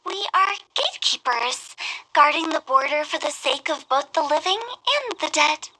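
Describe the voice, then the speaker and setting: A woman's voice: high voice, animated, moderate pace, bright, forceful tone, slight background noise, poor audio. A woman playing a playful, confident young funeral director in an anime-style fantasy game, with a theatrical, knowing manner. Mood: assured, explanatory.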